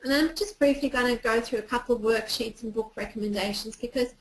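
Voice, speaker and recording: A woman's voice: a little high, fast. Austrian-accented woman presenting in an echoey room. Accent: Austrian German. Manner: explaining patiently, with frequent ums. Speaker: clinical psychologist presenter. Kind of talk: online presentation.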